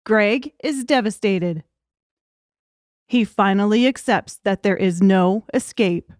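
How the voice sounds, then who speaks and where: A woman reading scripted narration for a dark thriller audiobook. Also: medium pitch, takes it slowly, no echo, studio quality.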